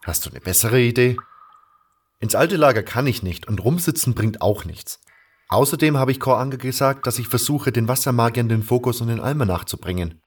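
A man's voice: medium pitch, expressive, brisk, slightly rough. A man playing an earnest, brooding adventurer who tells his own story in a fan audio drama. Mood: resolved, subdued. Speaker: narrator and lead actor in a fan audio drama. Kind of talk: first-person dramatic narration and dialogue.

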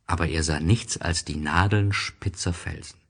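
A man's voice: low voice, steady tone, moderate pace, a bit bright, slightly rough. A man narrating a scripted storybook tale.

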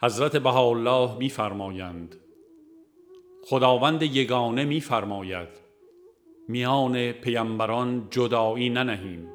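Man, audiobook narrator, medium pitch, measured formal reading, scripted religious text. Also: fairly bright tone.

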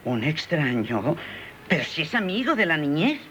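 A man in a 1970s radio drama playing a calm, gently teasing family member who reasons open-mindedly. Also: a little high, normal speed, slight background noise. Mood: teasing, reasoning. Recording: dull, band-limited 1978 radio recording.

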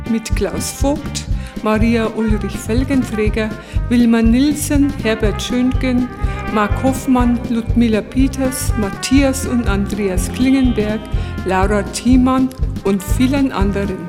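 A woman's voice: on the high side, slow pace, over music. A woman announcer reading scripted radio play credits.

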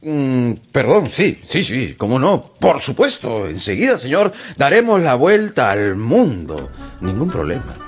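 A man's voice: medium pitch, huge pitch swings, unhurried, slightly rough, faint music behind, heavily acted. A man playing a flustered, obedient manservant in a radio drama, stammering and apologizing as he tries to please. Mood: flustered, servile.

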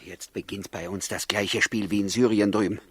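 An actor playing a bitter, sceptical rebel of ancient Judea, sarcastic and challenging, in 1960s German radio drama style. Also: male voice, fairly low pitch, fairly even intonation, moderate pace, slightly rough, dry recording. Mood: sardonic.

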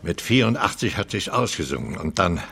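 A man's voice: low-pitched, very animated, a little fast, creaky, sharp tone, husky, heavily acted. An actor playing a proud, bitter opera star in his eighties, theatrical and grandiose, in a radio drama. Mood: bitter, wounded pride. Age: 80s.

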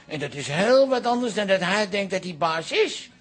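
An actress playing a nosy, blunt older aunt in a radio drama, with a very husky, raspy voice. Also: female voice, mid-range voice, very animated, moderate pace. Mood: meddling, earnest.

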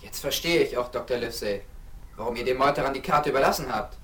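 Man acting a quick-talking boy adventurer. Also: medium pitch, natural voice. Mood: eager. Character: eager, brave boy adventurer. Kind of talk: 1970s German radio play acting. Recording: noisy old vinyl record rip.

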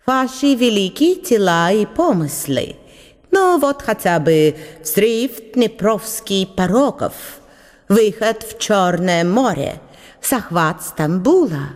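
An actress voicing a courteous, gracious German-born empress who speaks with a German accent. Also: female voice, medium pitch, sing-song, slow, big-room sound, pitched above the performer's own voice. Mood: gracious, admiring.

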